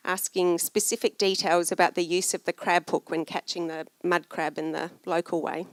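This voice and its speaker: A woman's voice: fairly low pitch, flat delivery, normal speed, dry recording. A woman telling a story as a conference panel speaker. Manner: storytelling, acting out quoted voices. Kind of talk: storytelling talk. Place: conference room.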